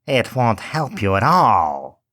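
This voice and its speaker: A man voicing a cruel, mocking old witch in a storybook reading, slow and muttering. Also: medium pitch, very animated, fairly bright tone, no room sound, clean studio sound, exaggerated voice. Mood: menacing.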